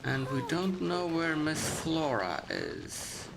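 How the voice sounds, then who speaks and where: A woman's voice: low voice, wildly swooping pitch, slow pace. A woman giving casual let's play commentary as she plays a video game. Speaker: gamer, video game player. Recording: clear noise and game sounds behind.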